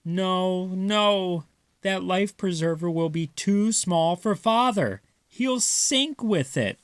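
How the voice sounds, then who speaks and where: A man voicing a sensible, caring mother mouse from a storybook, gently firm. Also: sits high, expressive, slow, dry recording, pitched above the performer's own voice.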